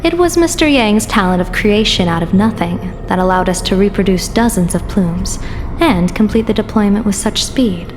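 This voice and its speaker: A woman playing a composed, wry general and strategist in a video game story, speaking in a measured, formal and lightly amused way. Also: medium pitch, animated, noisy background, natural voice. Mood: composed, assured. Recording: long hall reverb under clear music.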